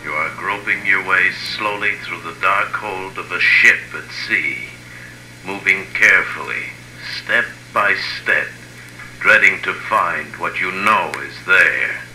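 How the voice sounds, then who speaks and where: A man narrating a 1950s radio thriller teaser in an ominous, deliberate way. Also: low voice, bright, forceful tone, rough recording. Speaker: radio show announcer. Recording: old radio recording with faint hum.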